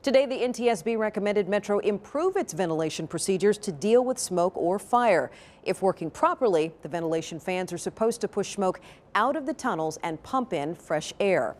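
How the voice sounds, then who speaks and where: A woman's voice: medium pitch, expressive, normal speed, darkish tone. A woman with an American accent presenting scripted news as an anchor.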